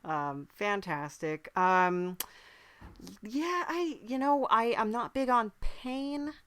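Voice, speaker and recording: A woman's voice: on the high side, animated, takes it slowly, fairly bright tone, dry recording. A woman playing a mild-mannered, friendly demon who hosts a comic podcast, speaking politely and hesitantly. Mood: mild, droll. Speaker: podcast host. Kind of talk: improvised comic interview in character.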